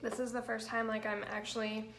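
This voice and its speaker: Hoarse young woman chatting on a livestream. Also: on the high side, little pitch movement, fairly quick, a bit bright, reverberant, a little hiss or hum. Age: young adult. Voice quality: chatty and rambling, fills with "like" and "um". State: hoarse, crackly voice from allergies. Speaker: makeup vlogger. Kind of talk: chatty get-ready-with-me livestream. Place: small bedroom, indoors. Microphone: built-in mic of a camera at arm's length.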